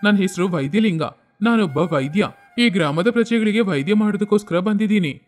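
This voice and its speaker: A man's voice: sits high, expressive, brisk, faint music behind. A man voicing a humble, earnest village doctor in a moral story, polite and patient. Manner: polite, pleading.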